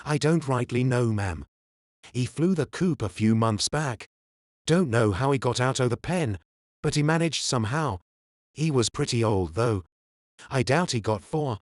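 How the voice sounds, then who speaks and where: A man doing a folksy zookeeper for an audio drama. He talks in an offhand, colloquial way at a medium pitch. The recording is dry and close. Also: lively intonation, normal speed, no echo, lightly acted.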